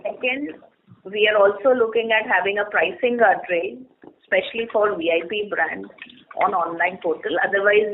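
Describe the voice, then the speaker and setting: A woman company chief answering business questions in an explanatory way, point by point, on a conference call. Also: medium pitch. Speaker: company managing director on an earnings call. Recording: narrow muffled phone conference line.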